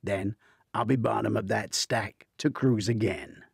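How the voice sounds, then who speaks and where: A man's voice: fairly low pitch, measured pace, vocal fry, husky, no echo, clean studio sound, pitched above the performer's own voice, full character voice. A man voicing a stubborn, earnest tech colleague in an audiobook, defensive and matter-of-fact.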